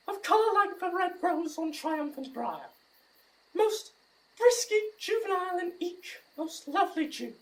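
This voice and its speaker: A naive, eager bad actor, with an extremely high voice, reciting in a stilted sing-song. It is comic Shakespearean audio drama, recorded slightly off the mic. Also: female voice, darkish tone, far from the mic, low-quality recording. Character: naive amateur player woodenly reciting a lover's part.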